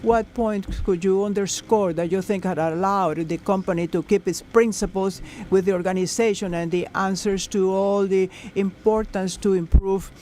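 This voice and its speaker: High-voiced man interpreting with halting phrasing. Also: fairly even intonation, a little mellow, slight background noise. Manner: halting, many fillers and self-corrections. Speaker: simultaneous interpreter. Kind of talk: live conference interpretation into English. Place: conference panel.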